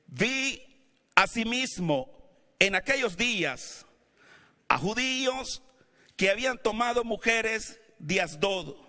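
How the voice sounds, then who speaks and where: A male pastor with a Latin American Spanish accent preaches in a church, his voice bright and edged as he swings from steady teaching to shouted calls to the congregation. His pitch sits high for a man, and long hall reverb trails each phrase. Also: takes it slowly, pauses often. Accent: Latin American Spanish, not Mexican. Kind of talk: sermon. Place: church sanctuary.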